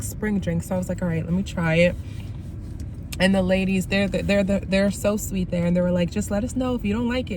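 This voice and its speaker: Slightly raspy woman vlogging in a car. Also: medium pitch, noisy background. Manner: rambling, many ums and likes. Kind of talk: casual vlog chat.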